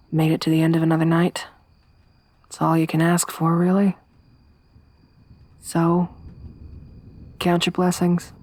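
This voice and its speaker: A young woman voicing a tough, tomboyish survivor companion in an ASMR roleplay, speaking softly with a wry, weary warmth. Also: deep voice, dry recording. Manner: soft-spoken, wry, quietly reflective.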